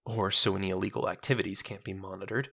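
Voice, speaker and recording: A man's voice: sits low, level tone, quick pace, vocal fry, sharp tone, exaggerated voice. A man voicing a weary, cynical woman law officer in an audio story, guarded and sardonic, with colloquial phrasing. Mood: suspicious.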